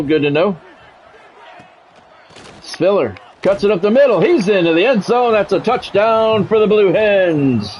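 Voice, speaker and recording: A man's voice: high voice, darkish tone, a little hiss or hum. A middle-aged American man doing play-by-play of a football video game on a livestream, mixing polished, clipped announcer calls with casual chat to viewers.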